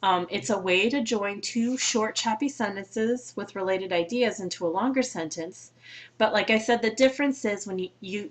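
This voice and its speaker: Chatty woman giving a writing lecture. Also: medium pitch. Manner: chatty, explains with asides and fillers. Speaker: college English instructor. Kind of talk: recorded slide lecture.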